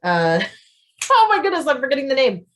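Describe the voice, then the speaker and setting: A woman's voice: sits high, wildly swooping pitch, normal speed. A woman chatting casually and effusively to viewers as an author hosting an unscripted question-and-answer livestream. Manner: effusive, often chuckles mid-sentence.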